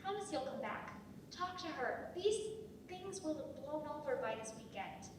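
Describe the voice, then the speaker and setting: Young woman acting an anxious high-voiced sister. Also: expressive, normal speed. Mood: anxious, pleading. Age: young adult. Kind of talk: dramatic script reading. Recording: very echoey room, distant mic, clear noise.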